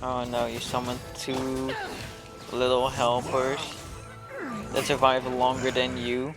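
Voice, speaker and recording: A man's voice: mid-range voice, completely flat delivery, takes it slowly. A man giving casual commentary as he plays a video game, with game music behind him.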